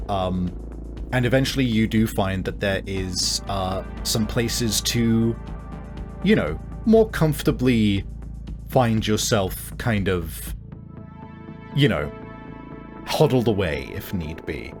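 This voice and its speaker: A man narrates a tabletop roleplaying scene in a low voice over clearly audible music. He takes his time, feeling his way through each description with frequent pauses. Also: lively intonation. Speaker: game master. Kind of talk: improvised tabletop game narration.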